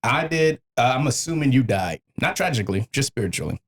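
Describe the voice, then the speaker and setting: Male American podcast host, mid-pitched and highly animated, wry, sweary, emphatic comic delivery. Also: fairly quick, breathy edge. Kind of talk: casual comedic podcast banter and monologue.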